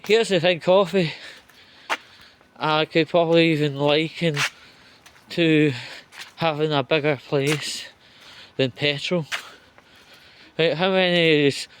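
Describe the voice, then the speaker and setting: A man with a slightly high voice rants off the cuff at an unhurried, dragging pace. He is sardonic and sweary, breaking his commentary into short halting chunks. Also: fairly even intonation, a little hiss or hum. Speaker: vlogger giving political commentary. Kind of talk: off-the-cuff spoken rant.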